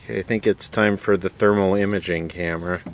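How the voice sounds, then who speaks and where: A man giving casual, off-the-cuff narration of a repair job, halting as he thinks aloud. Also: low-pitched, steady tone, a little hiss or hum. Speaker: host, vintage radio repairer. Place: beside an old console radio being worked on.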